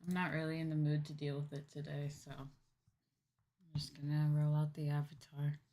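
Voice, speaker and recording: A woman's voice: sits low, flat delivery, moderate pace, mellow. A woman livestreamer chatting casually and thinking aloud, muttering a little hesitantly to herself. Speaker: VTuber livestreamer.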